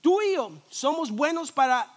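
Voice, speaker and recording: A man, a pastor, preaching a sermon in a lively, conversational way, full of rhetorical questions, jokes and quick restarts. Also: very high voice. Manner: frequent restarts and repeated words.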